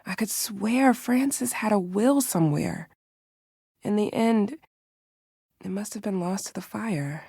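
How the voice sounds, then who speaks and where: A woman's voice: mid-range voice, measured pace, creaky, pauses often, warm tone, husky, no room sound, clean studio sound. A woman voicing a wounded but grateful game character, solemn and heartfelt. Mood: saddened. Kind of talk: video game voice acting.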